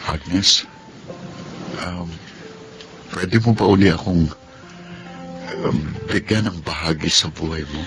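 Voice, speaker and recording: A devoted young Filipino suitor in a radio drama. His very low voice is gentle and hesitant, ready to forgive. Soft music plays behind him. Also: slow, pauses often, poor audio. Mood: tender, pleading. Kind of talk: Tagalog radio drama acting.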